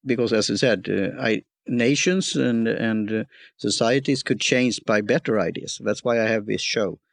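Creaky-voiced halting male host. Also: fairly low pitch, no room sound. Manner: halting, searching for words, many uhs. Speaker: talk show host. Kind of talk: casual interview chat.

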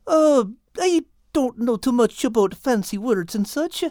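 A woman's voice: fairly high pitch, animated, unhurried, dark tone, no room sound, studio quality, voice pushed up. A woman voices a good-natured, simple animal fellow from a children's tale. He speaks in a raised, lilting voice, folksy and fond, in no hurry. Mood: bashful, fond. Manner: folksy, unassuming.

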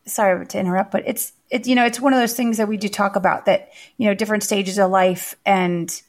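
A woman co-hosting a podcast in casual chat, her voice raspy from laryngitis, talking quickly with many fillers. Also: medium pitch, fairly even intonation. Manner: chatty, quick, full of fillers. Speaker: podcast co-host.

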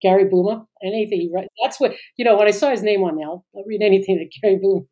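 Mellow-voiced middle-aged woman, hesitant. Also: mid-range voice, fast talker, lo-fi sound. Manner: hesitant, trailing off, many fillers. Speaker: podcast co-host. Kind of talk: casual podcast chat.

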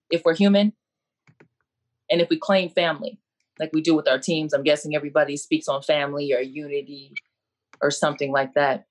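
A woman coach speaking as a panelist on a video call, earnest and preacherly, repeating her key phrases. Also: sits low. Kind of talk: impassioned faith-based speech on a video call.

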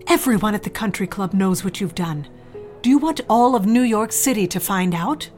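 A woman's voice: mid-range voice, animated, normal speed, a little mellow, faint music behind, lightly acted. A woman playing a cold, disapproving older society mother in an audio drama, haughty and clipped in manner. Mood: scornful.

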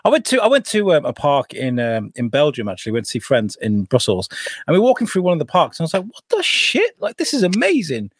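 A British man with a lively, swooping voice who jokes crudely and talks with animated energy. He sounds dry and close to the mic. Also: a little high, fast talker, husky, dry recording. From Britain. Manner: laughing, animated, crude humor. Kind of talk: casual podcast chat.

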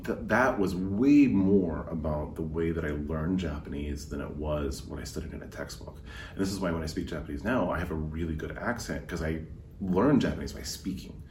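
A man from the United States, a podcast guest telling stories in a relaxed conversational way. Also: a bass voice, reverberant, far from the mic, a little hiss or hum. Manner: relaxed storytelling with fillers. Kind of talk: casual conversation.

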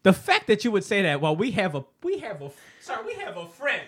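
A man's voice: sits high, wildly swooping pitch, fast, husky. A man co-hosting a podcast, trading slangy, crude, playful trash talk. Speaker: podcast co-host. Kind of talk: casual comedic chat.

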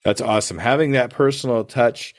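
A man's voice: medium pitch, brisk, no room sound. A man hosting a podcast interview, chatty and rambling with frequent restarts. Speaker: podcast host. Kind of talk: casual interview chat.

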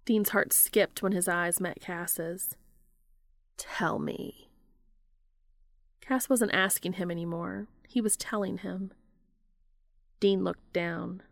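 A young adult woman reading fan fiction aloud in a slow, even storytelling manner. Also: fairly low pitch, creaky, no echo, studio quality.

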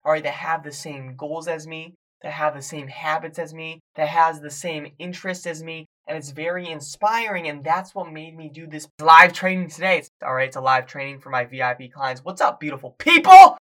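A hoarse young man, a fitness coach and podcast host, talking energetically to viewers on a live stream as he loses his voice. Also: on the high side, normal speed, hardly any pauses, rough recording. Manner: hyped, shouting encouragement to viewers.

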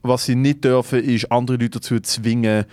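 A man's voice: mid-range voice, steady tone, normal speed, darkish tone, no room sound, clean studio sound. A man with a Swiss German accent in casual, opinionated debate, swearing and dropping in English slang.

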